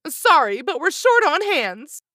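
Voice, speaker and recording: A woman playing a brash motel boss in an anime dub, brisk and pushy. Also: extremely high pitch, big pitch swings, sharp tone, no echo, full character voice. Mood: apologetic but firm. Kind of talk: anime fan dub acting.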